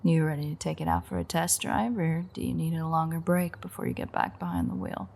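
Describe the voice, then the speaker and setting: A woman playing a playful, teasing vampire with dark predatory confidence in an intimate, sardonic audio roleplay, her voice husky and breathy. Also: sits low, level tone, a little fast, heavily acted. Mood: playful, coaxing. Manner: teasing, sardonic, swearing banter.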